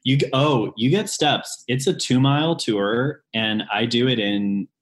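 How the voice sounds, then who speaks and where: A man in his late twenties who works as a ghost tour guide, chatting casually in an interview, with lots of ums and sudden lively jumps in pitch. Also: unhurried. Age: late 20s.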